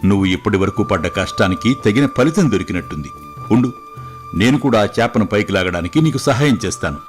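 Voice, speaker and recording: A man playing a calm, wise fatherly king who gives measured, reassuring moral advice in a storybook tale. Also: fairly low pitch, over music, natural voice. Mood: approving, warm. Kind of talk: scripted moral story dialogue.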